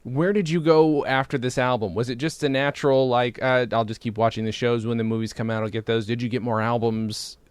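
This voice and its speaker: A man's voice: mid-range voice, steady tone, fairly quick, few pauses, clear, resonant tone, no room sound. American man hosting a casual podcast interview. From upstate New York, United States. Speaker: podcast host.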